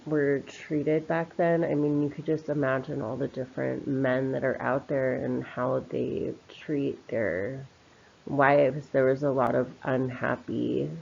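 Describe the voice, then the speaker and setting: A woman's voice: deep voice, creaky, mellow. A woman giving an informal spoken commentary in a reflective, opinionated, conversational manner. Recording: slightly dull, lo-fi voice recording.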